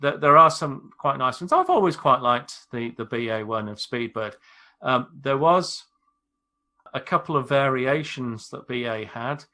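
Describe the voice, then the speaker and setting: British man, bright crisp-edged tone, medium pitch, measured explanatory delivery, informal podcast talk. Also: lively intonation. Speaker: airline pilot, expert guest.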